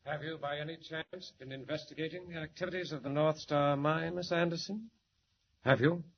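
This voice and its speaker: An actor playing a smug, menacing crooked company boss in a 1940s radio drama, with mock-polite, sneering delivery. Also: male voice, on the high side, fairly even intonation, normal speed, close to an everyday voice. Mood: mock-polite menace. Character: menacing, smug swindler mining boss, a smooth male villain. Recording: dull, noisy 1940s radio recording.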